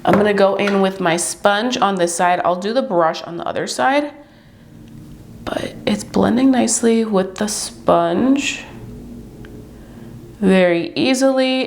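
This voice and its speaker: A woman's voice: mid-range voice, vocal fry. A young woman reviewing beauty products to camera in a chatty, upbeat way, her voice stuffy and congested from a cold. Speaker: beauty vlogger.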